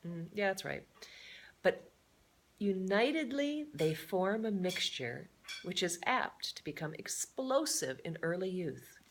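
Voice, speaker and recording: A woman's voice: on the low side, sing-song, slow pace, a little hiss or hum. A woman reading a children's story aloud in a theatrical way, adding chatty, playful asides. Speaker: storybook reader.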